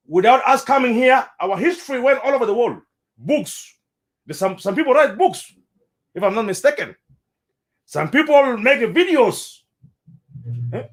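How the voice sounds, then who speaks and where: South sudanese guy, high voice that jumps around a lot, repeats himself, casual chat. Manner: animated, repeats words, mixes in Arabic phrases. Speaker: interviewee. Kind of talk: casual spoken commentary.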